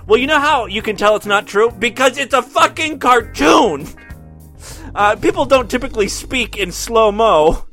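A man's voice: extremely high pitch, lively intonation, normal speed, sharp tone, faint music behind. A man hosting a show, reading listener emails and mocking them with sarcastic, profane commentary. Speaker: podcast host.

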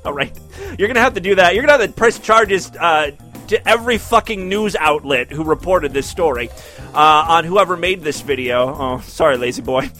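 A man's voice: fairly high pitch, expressive, normal speed, sharp tone, soft background music. A man hosting a show, reading listener emails and mocking them with sarcastic, profane commentary. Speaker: podcast host.

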